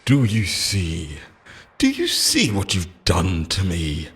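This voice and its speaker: A man's voice: sits low, huge pitch swings, slow, fairly bright tone, exaggerated voice. A man playing an obsessive immortal lover in an audio roleplay, theatrical and intense, shifting between pleading tenderness and menace. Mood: anguished, bitter. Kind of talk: dramatic ASMR-style audio roleplay monologue.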